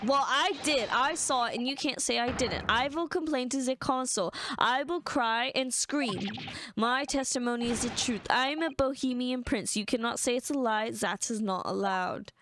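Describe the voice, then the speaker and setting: A woman's voice: fairly high pitch, normal speed, noisy background, close to an everyday voice. Woman putting on a haughty boy prince. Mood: petulant. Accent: put-on Central European accent. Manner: stiff, formal, petulant. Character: haughty young boy prince, whiny and proud. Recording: game music behind.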